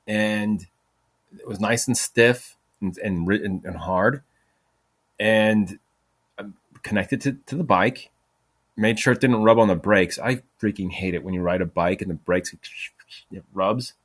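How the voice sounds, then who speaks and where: A man in his forties spins a long, wandering anecdote in a loose, chatty way. His voice sits a bit on the low side, and he keeps laughing at himself and cursing as he drifts off topic. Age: 40s. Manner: chatty, profane, self-mocking, drifts off topic. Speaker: podcast host. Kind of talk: rambling casual storytelling.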